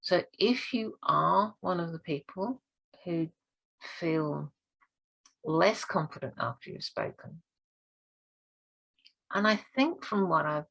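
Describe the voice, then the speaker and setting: A woman with a low, croaky voice, gravelly and husky from hoarseness, talks straight to camera as a speaking coach. Her delivery is earnest and encouraging. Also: slow pace, pauses often, a bit bright, poor audio. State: hoarse this week. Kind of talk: direct-to-camera training talk.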